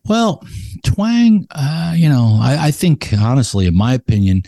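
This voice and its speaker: A man's voice: medium pitch, animated, measured pace, creaky, mellow, dry recording, clean studio sound. A man speaking as an expert guest in a casual chat, emphatic and opinionated about his craft. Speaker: expert podcast guest, cigar blender.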